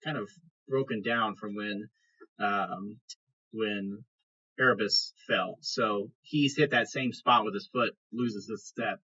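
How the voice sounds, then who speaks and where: A man's voice: on the low side, slow pace, sharp tone, breathy edge, dull audio. A man narrating a tabletop fantasy game as game master, in a casual spoken style.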